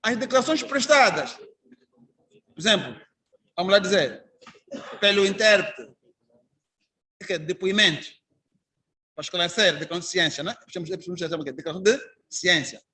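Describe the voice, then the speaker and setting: A man's voice: sits high, animated, moderate pace, long pauses, pushed and bright. A man with an Angolan Portuguese accent giving an informal lecture to trainee magistrates, telling anecdotes and putting rhetorical questions to the class. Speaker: judge and trainer.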